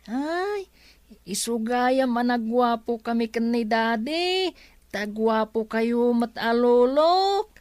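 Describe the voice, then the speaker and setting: A woman's voice: a little high, animated, takes it slowly, darkish tone, no room sound. A woman voicing an innocent, chatty little boy in an Ilocano radio drama, with an Ilocano accent. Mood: innocent, curious.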